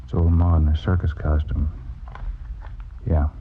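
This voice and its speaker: A man's voice: extremely low pitch, flat delivery, measured pace. A man playing an eager, stubborn old circus hand in a radio drama, with folksy, rambling, slangy speech. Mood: insistent, eager. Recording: dull, faintly noisy vintage radio recording.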